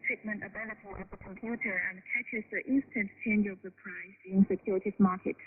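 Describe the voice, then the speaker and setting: A woman explaining haltingly as an analyst in a phone interview on shortwave radio. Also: medium pitch. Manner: halting, with frequent hesitations. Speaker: financial analyst guest. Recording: phone line over noisy shortwave radio.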